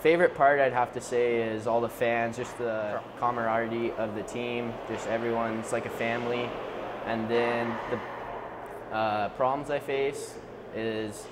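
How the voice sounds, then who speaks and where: A man's voice: medium pitch, steady tone, a little slow. A seventeen-year-old man from Washington state, a junior hockey player, speaking plainly in an interview in an ice rink. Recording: loud rink noise behind.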